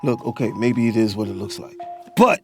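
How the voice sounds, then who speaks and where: A man's voice: fairly low pitch, expressive, moderate pace, soft background music, lightly acted. A man playing an evasive, defensive cheating boyfriend in an audio drama. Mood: defensive, defeated.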